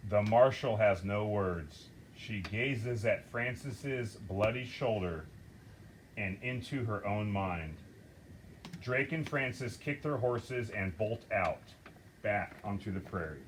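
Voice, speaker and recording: A man's voice: lowish voice, expressive, takes it slowly, slight background noise, poor audio. A man reading stage directions aloud at a table reading and directing the scenes at a film studio.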